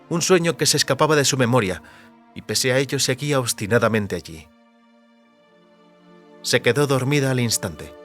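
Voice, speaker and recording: A man's voice: medium pitch, a bit bright. A man reading scripted literary narration as an audiobook narrator.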